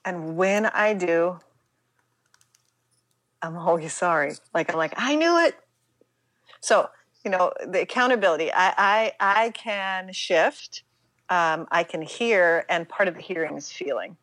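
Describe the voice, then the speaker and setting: A middle-aged American woman speaking reflectively as an interview guest, halting and emphatic, often acting out her own inner dialogue. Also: fairly low pitch, a bit bright, no echo. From United States, Pittsburgh, Pennsylvania.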